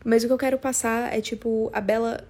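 A woman's voice: fairly high pitch, flat delivery, brisk, darkish tone. A young woman with a strong São Paulo Brazilian accent, chatting casually and confessionally in a rambling, slangy, emphatic way. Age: young adult. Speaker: podcast host.

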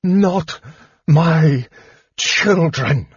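Man putting on a high, shrieking old mother dragon. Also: very slow, slightly rough, no room sound, dull audio, full character voice. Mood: enraged. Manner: shrieking, pleading, anguished. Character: heartbroken old female dragon, fiercely protective mother.

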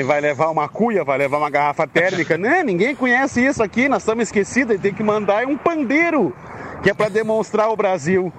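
Man, listener voice message, high voice, ironic joking tone, faint street noise.

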